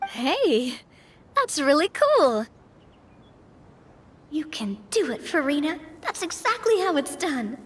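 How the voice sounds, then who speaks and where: A cheerful, high, lilting young adventurer. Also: female voice, very animated, takes it slowly, long pauses, a bit bright, full character voice. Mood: encouraging. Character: cheerful, encouraging young female adventurer, food-loving optimist. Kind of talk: video game voice acting.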